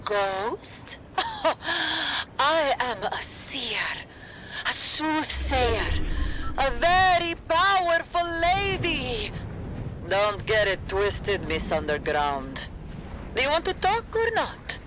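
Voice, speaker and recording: A woman's voice: high voice, big pitch swings, drawn-out words, pauses often, noisy background, full character voice. A woman playing a powerful, theatrical seer in a video game, sassy and portentous in manner. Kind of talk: scripted video game voice acting. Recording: loud game music behind the voice. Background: loud music.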